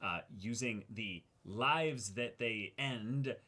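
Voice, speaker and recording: Bright-voiced man, casual anime show co-host. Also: medium pitch, takes it slowly. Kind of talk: casual discussion of an anime episode.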